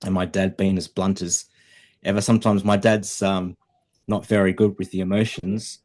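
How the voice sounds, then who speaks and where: A middle-aged man speaks in a very low, deep voice. He chats at an easy, halting pace, full of ums and pauses, with a dry wit running through his casual podcast talk. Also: level tone, a little mellow. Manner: hesitant, many ums, dry humour. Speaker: podcast co-host. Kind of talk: casual chat.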